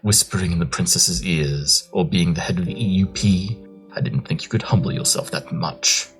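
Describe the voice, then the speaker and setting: A man's voice: low voice, normal speed, soft background music. A man playing a brilliant, haughty pony detective in a cartoon, pompous, dry and precise. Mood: sardonic.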